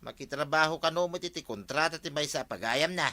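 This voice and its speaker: A man's voice: fairly high pitch, a little fast, sharp tone, no echo. An actor with an Ilocano accent playing a nagging, gossipy village man in a radio comedy drama. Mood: inquisitive.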